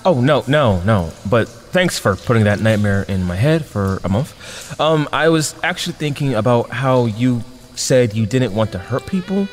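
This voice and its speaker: A man's voice: mid-range voice, animated, normal speed, hardly any pauses, lightly acted. Earnest young superhero man acting, long reverb. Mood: hesitant, earnest. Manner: hesitant. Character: earnest, awkward, conciliatory young male superhero held captive. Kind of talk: audio drama acting. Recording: long reverb over faint music.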